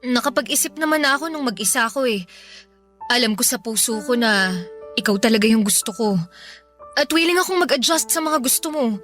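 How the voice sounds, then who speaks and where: A woman's voice: fairly high pitch, lively intonation, fairly quick, pauses often, a bit bright, faint music behind. A woman playing a clingy, earnest young woman pleading with her ex in a Filipino radio drama, sometimes hesitant.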